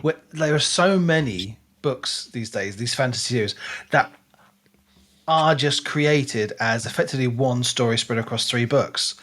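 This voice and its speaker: A man's voice: medium pitch, unhurried, fairly bright tone. A man co-hosting a book podcast, talking casually and with firm opinions, often repeating words for emphasis.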